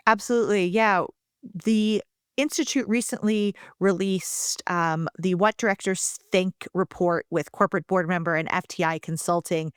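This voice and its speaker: Lower-voiced woman podcast co-host. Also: steady tone, moderate pace, clear, resonant tone, no room sound, studio quality. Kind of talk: podcast chat.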